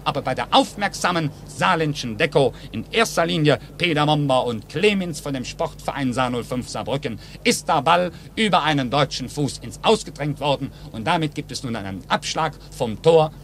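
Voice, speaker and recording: A man's voice: fairly high pitch, expressive, normal speed, hardly any pauses, a bit bright. A man giving detailed live play-by-play football commentary on 1950s radio, in short clipped phrases from a stadium. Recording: noisy old 1950s radio recording.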